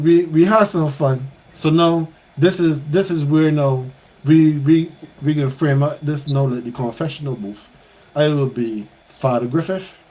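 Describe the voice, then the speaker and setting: A man's voice: fairly high pitch, a little mellow, slight background noise, poor audio. A man hosting a podcast, talking sport in a playful, teasing way. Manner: playful, teasing, builds up to questions. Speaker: podcast host. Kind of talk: casual sports chat.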